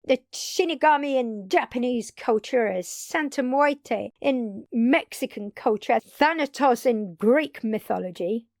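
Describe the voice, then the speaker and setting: Woman voicing a brash vampire, highish voice, unhurried delivery, expressive, casual, dramatic pauses, audio drama roleplay. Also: dry recording, clean studio sound. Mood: accusing, tense. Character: brash, excitable undead vampire woman, a magic-savvy fighter. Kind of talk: intimate audio drama roleplay addressed to listener.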